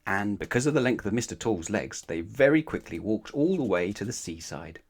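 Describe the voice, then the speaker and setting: A man narrating a children's bedtime storybook aloud in an expressive read-aloud style. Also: fairly low pitch, normal speed.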